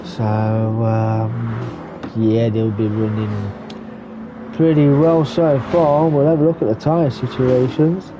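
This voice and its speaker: A man giving relaxed running commentary on a sim race, stuffy and muffled from a cold. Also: mid-range voice, slow. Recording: muffled lo-fi recording with background noise.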